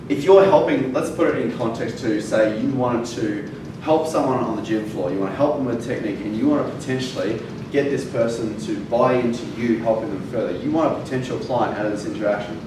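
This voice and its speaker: A man's voice: mid-range voice, brisk. A male fitness educator giving a classroom lecture, emphatic and punching key words, with frequent fillers. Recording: very echoey room from far off, noisy.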